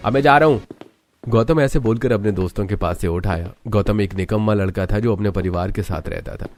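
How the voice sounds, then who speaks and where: A man's voice: lowish voice, a little fast, a little mellow, soft background music. A man giving scripted, dramatic narration for a cartoon story, as its narrator.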